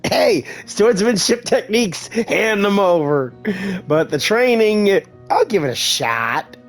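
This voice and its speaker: A woman's voice: mid-range voice, big pitch swings, slow, soft background music. A woman streamer giving casual reaction commentary over gameplay, with informal asides at the game. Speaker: gaming streamer.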